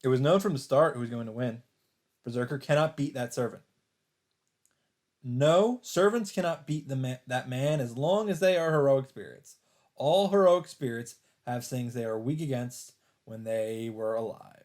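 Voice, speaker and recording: A man doing a let's play, reading a visual novel aloud as dramatic narration in halting phrases, with some off-the-cuff reactions. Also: mid-range voice, animated, darkish tone. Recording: music behind the voice. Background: game soundtrack music.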